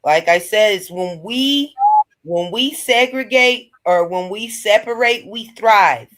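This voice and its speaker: A woman co-hosting a live-stream discussion, speaking bluntly in a relaxed way. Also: mid-range voice, slow. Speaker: co-host.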